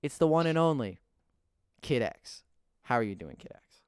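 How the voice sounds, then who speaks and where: A man's voice: mid-range voice, sing-song, moderate pace, clear, resonant tone, no echo, studio quality. A teenage boy hosting a casual podcast interview, with a lot of hesitant filler words. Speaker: podcast host.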